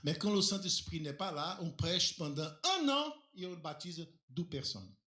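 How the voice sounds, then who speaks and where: Male pastor preaching in an echoey church, voice jumps all over, keeps firing questions at the crowd, portuguese is his first language. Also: normal speed. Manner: lively preaching with rhetorical questions and sudden pitch jumps. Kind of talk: sermon. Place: church hall.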